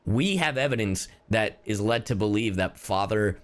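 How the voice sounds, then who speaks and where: A man's voice: fairly low pitch, expressive, normal speed. A man doing casual livestream commentary on a game, chatting to viewers and swearing freely. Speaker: livestreamer, gamer.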